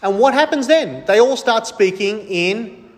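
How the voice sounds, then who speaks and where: A male preacher with a notably high voice delivers a sermon. He speaks emphatically, putting questions to his listeners and answering them himself. His voice rings out with the long reverb of a large hall. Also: animated, measured pace.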